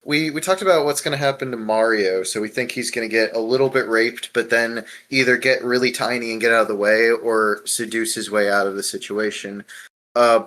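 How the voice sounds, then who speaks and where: A man's voice: on the low side, bright, forceful tone. A man hosting a casual podcast discussion, talking bluntly with swearing and steering with questions. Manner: profane, blunt, leads with questions. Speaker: podcast host.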